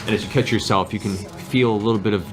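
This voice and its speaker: A man's voice: lowish voice, steady tone, quick pace, music in the background. A man acting as game master, improvising descriptive, theatrical narration for a tabletop game. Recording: clearly noisy room ambience.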